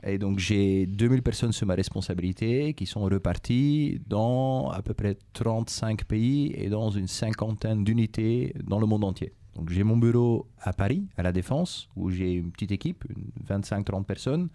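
A middle-aged Belgian man whose first language is Dutch carries a Flemish accent into his speech. His voice sits slightly low and mellow. He explains patiently, often stopping to restart or rephrase a thought. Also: normal speed, few pauses, dry recording. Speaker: company director, interview guest. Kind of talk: explaining to schoolchildren.

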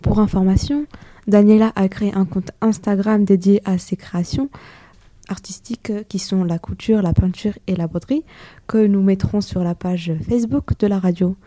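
Young woman radio host, very dark mellow voice, relaxed colloquial, dry studio. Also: medium pitch, normal speed, no room sound. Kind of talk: casual radio interview.

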